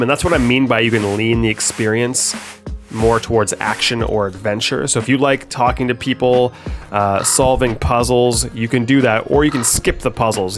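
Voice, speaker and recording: A young man with a stuffed-up voice from a head cold, casually explaining the game he made as he plays it, with frequent ums and uhs. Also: mid-range voice. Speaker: game developer. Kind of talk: gameplay commentary.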